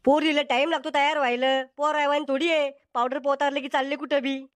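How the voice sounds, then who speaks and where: A squeaky, very high cartoon villager, played by a woman, blunt and always ready to bicker. The sound is dry and close, with comic village dialogue. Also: steady tone, a little fast, no room sound, natural voice. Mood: matter-of-fact.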